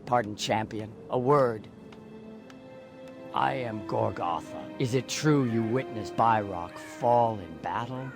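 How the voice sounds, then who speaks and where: Vengeful young game orc, raspy creak, music in the back. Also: male voice, medium pitch, takes it slowly. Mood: earnest. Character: young hot-headed vengeful orc warrior. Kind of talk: video game character dialogue.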